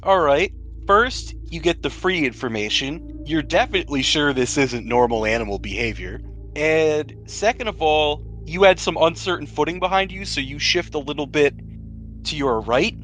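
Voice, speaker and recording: A man with a slightly high voice narrates a tabletop role-playing game as game master. He describes the action and asks players for decisions, with music playing behind him. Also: animated, normal speed, fairly bright tone.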